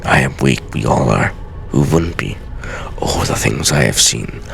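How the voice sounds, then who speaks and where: An old master assassin, performed by a man, recites his journal with theatrical gravity. The voice is extremely low and thick with gravel, over clear background music. He sounds weary and solemn, measuring each phrase like a game's storyteller. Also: animated, unhurried, fairly bright tone, exaggerated voice. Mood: grave, troubled. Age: older. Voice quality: very deep, gravelly.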